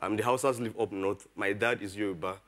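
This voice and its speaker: Nigerian-accented male contestant, low voice, polite explainer. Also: fairly even intonation, brisk, fairly bright tone. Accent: Nigerian, mixed regional.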